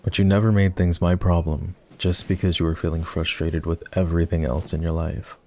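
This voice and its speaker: A man playing an ex-boyfriend turned boss in an intimate roleplay ASMR, talking in a halting, conversational way. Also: low voice, flat delivery, a little mellow, slight background noise, natural voice. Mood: regretful, confessional. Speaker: voice actor.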